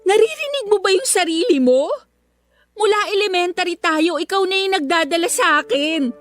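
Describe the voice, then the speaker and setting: A teenage schoolgirl in a Filipino radio drama, with a very high, girlish voice and a Filipino accent. She is earnest and wounded, defending herself with emotional reproach. Music plays behind her. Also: animated, moderate pace, no room sound, clean studio sound. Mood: hurt, pleading.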